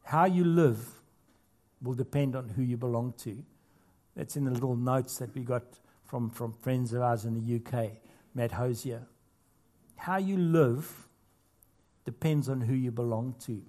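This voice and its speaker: Guy preaching a sermon, earnest, gets loud on the big points, chatty asides. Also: medium pitch, measured pace, pauses often. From not Australia. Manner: earnest preaching with rising emphasis and casual asides. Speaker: pastor.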